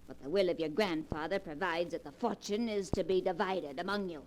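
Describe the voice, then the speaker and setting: A woman's voice: lowish voice, fairly quick, lightly acted. An actress in a 1940s radio play voicing a wealthy older grandmother who mocks her grandchildren. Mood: scornful. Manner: mocking, imperious, cackling. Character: old rich grandmother, scornful and teasing. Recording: old radio broadcast with faint hiss.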